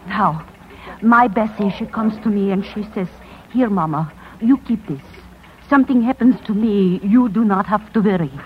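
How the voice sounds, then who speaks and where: Older immigrant mother played by an actress, humble yet brave, halting speech, old radio drama with dull, slightly noisy sound. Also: medium pitch, lightly acted. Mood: earnest. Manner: halting, plain, broken English. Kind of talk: 1950s radio drama acting. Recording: dull, faintly noisy vintage radio recording.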